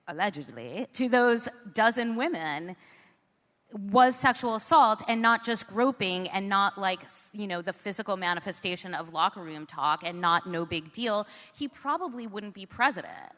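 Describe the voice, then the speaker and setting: An American woman speaks as an interview guest in a large reverberant hall. Her pitch swings widely as she makes her points informally and emphatically, often putting them as rhetorical questions. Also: a bit bright. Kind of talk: conversational interview. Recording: echoey hall with long reverb.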